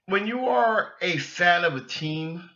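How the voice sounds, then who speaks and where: Guy doing a sports fan rant, bright forceful voice, keeps repeating himself, kinda muffled sound. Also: high-pitched, gravelly. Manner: halting, repetitive, exasperated. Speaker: sports fan podcast host. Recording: dull lo-fi sound, echoey room.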